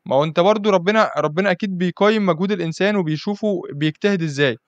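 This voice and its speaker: Quick-talking Egyptian host, dull lo-fi sound. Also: male voice, high-pitched, dry recording. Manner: chatty, keeps asking "you understand?". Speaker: podcast host. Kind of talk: casual podcast interview.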